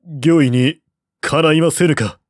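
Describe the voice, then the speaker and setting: A man's voice: fairly high pitch, a little slow, dry recording, studio quality, voice pushed up. A man voicing an earnest, loyal royal advisor in an audiobook, polite, formal and persuasive. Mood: urgent pleading. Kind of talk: audiobook character voice.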